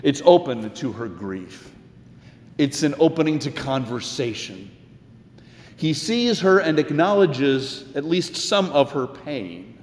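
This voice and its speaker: A man preaching a sermon as a pastor, with deliberate, emphatic phrasing and conversational asides. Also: mid-range voice, lively intonation, darkish tone, slight background noise. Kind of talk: sermon, expository storytelling with quoted scripture. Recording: echoey hall with long reverb.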